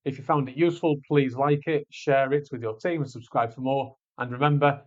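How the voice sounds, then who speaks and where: Male tutorial presenter, medium pitch, conversational step-by-step instruction, somewhat lo-fi sound. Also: fairly even intonation, a little fast. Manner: informal, explains step by step. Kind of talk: screencast walkthrough, conversational instruction. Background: voice-over on a screen recording.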